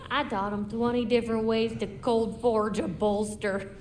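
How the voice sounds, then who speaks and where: A woman's voice: fairly high pitch, fairly even intonation, normal speed, darkish tone, slight background noise, close to an everyday voice. A woman playing a folksy, plain-spoken female dwarf smith in a video game drama, informal in manner. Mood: fond remembrance. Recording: roomy echo under music.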